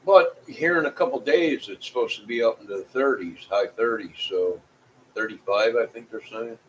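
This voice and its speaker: A man's voice: medium pitch, normal speed, a bit bright, lo-fi sound. A middle-aged or older man chatting casually to viewers in a folksy, rambling way, often repeating himself. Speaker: vlogger. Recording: clear background noise.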